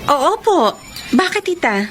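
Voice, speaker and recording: A woman's voice: high voice, big pitch swings, unhurried, background noise. A woman playing a sweet, trusting young girlfriend and niece in a radio romance drama, with a Filipino accent. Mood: polite, curious.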